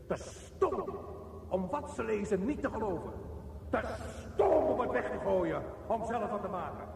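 Pompous office boss in echoey room. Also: male voice, high voice, vocal fry, a little mellow, slight background noise, exaggerated voice. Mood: bitter, agitated. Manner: pompous, lecturing. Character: bossy, self-important office manager, grumpy and pedantic. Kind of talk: radio play monologue. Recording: roomy, reverberant, distant from the mic.

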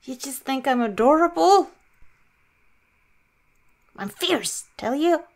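A woman acts out a cute young female werewolf in an intimate roleplay. Her voice is high and her delivery very slow and halting. She comes across as pouty, flustered and unsure of herself. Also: lively intonation, full character voice. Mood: embarrassed, insistent. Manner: halting, pouty, self-deprecating. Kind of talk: improvised ASMR roleplay, talking to listener.

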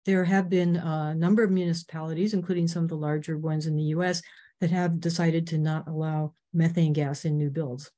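An older woman from Canada giving an earnest, informative slide talk on a video call, clearing her throat now and then. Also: low voice, mellow. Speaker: presenter.

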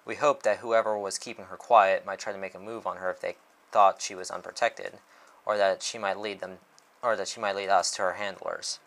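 Noir detective narrator, male a man acting him out: low register, weary, short-tempered, dramatic storybook reading. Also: flat delivery, a little fast, vocal fry, fairly bright tone. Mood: world-weary, wry.

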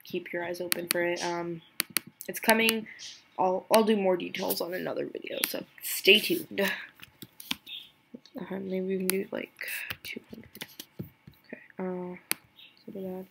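A young woman giving a casual screen-recorded software tutorial, chatty and giggly, thinking aloud with a voice lower than usual today. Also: flat delivery, a little slow, creaky, long pauses, background noise. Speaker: tutorial creator.

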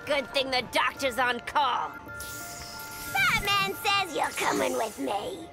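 A woman's voice: sits high, sing-song, slow pace, vocal fry, exaggerated voice. A woman voicing a playful, cheeky young clown villainess in a video game, flippant and teasing. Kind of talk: video game character acting. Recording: loud music behind.

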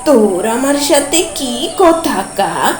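Woman putting on a blacksmith man, cartoon storybook, gruff, curt, dismissive, slow, roomy echo. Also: sits high, very animated, a little hiss or hum, heavily acted. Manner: curt, brushing others off. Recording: roomy echoey voice-over.

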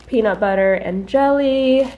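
A young adult woman chatting casually to her handheld camera in a small apartment kitchen, upbeat and quick. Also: on the high side, highs cut off. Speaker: lifestyle vlogger. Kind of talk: casual vlog talk to camera. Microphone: handheld camera close to face.

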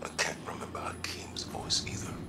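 A man playing a weary, grieving military commander in a video game, deep and breathy, halting and restrained. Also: whispered, lightly acted. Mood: subdued grief.

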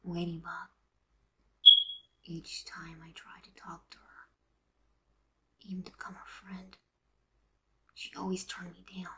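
A woman voicing a teasing, wistful teenage boy in a fan audio drama, breathy and often near a whisper, in a confiding manner. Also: on the low side, flat delivery, measured pace, pauses often, background noise.

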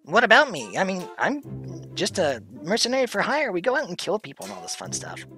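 A woman's voice: mid-range voice, wildly swooping pitch, fairly quick, sharp tone, faint music behind. A woman voicing a shy, polite small demon mercenary in a comic dub, hesitant and earnest, stammering at times. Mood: friendly, sympathetic.

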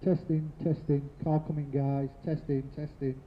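Guy on a pa system doing mic checks, pretty flat, muffled and far off. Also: fairly high pitch, moderate pace. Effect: outdoor PA loudspeaker. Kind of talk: microphone test announcements. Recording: dull distant PA across car park.

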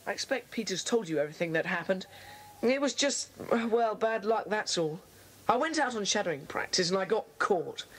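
A man's voice: high voice, expressive, moderate pace, fairly bright tone, breathy edge, exaggerated voice, poor audio. A male performer playing an earnest, apologetic schoolboy in a children's audio drama, polite but protesting. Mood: rueful.

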